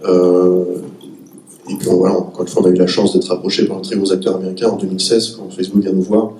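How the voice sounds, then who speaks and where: A man's voice: low voice, level tone, fast talker, mellow, slight background noise. A man who has a cold, explaining his technical business on a round-table panel. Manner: explanatory, technical, self-correcting. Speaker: panelist, startup executive. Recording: echoey room, far from the mic.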